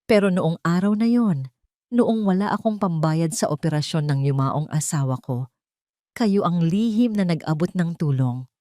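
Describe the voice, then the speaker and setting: An elderly former driver, voiced by a woman storyteller, humble and deeply loyal. He speaks earnestly and with feeling, in a dramatic storybook manner. The sound is dry and close. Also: expressive, moderate pace, dark tone, no echo, close to an everyday voice. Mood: grateful, earnest. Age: older.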